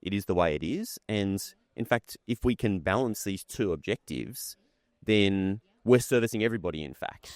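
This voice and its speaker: A man hosting a podcast interview, asking questions in a quick, animated way and softening them with hedges. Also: lowish voice, no echo. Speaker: podcast host. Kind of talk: conversational interview. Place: conference venue.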